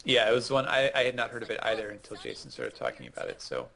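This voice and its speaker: A man co-hosting casual gameplay commentary, explaining things in a measured way. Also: lowish voice, sing-song, creaky, fairly bright tone. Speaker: co-host.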